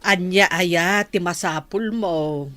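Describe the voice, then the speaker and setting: An actress playing a caring, gentle elderly pensioner mother in a radio drama. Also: on the low side, slow pace, no echo. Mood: supportive. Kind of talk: radio drama acting in dialogue.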